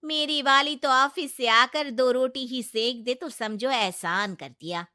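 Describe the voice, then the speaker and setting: A woman voicing a gossipy older friend of the mother-in-law in a cartoon story, loud and chatty. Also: high-pitched, a little slow, dry recording, studio quality.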